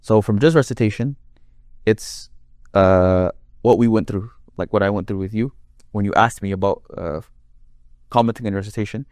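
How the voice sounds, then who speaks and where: A man with a deep, low-set voice talks through his points in an easy conversational way, stacking up long examples to make each one clear. The sound is dry and close, with almost no room around it. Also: moderate pace, a little mellow, dry recording. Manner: explains with long worked examples. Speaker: Quran reciter and guest. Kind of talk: conversational interview.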